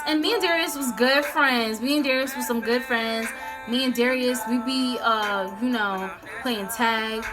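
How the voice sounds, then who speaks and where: A young American woman telling a story casually to viewers, animated and slangy, acting out the dialogue as she goes. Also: a little high. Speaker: storytime vlogger.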